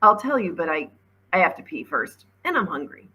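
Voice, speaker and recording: A woman voicing a bossy, practical, determined sixth-grade girl in a storybook, speaking firmly and briskly. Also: on the low side, big pitch swings, bright, forceful tone, slightly rough, full character voice. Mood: guarded.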